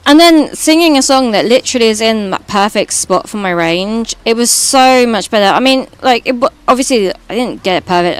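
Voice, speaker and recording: A British woman with a high voice, streaming and chatting casually while gaming, rambling and swearing now and then. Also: smooth. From Britain.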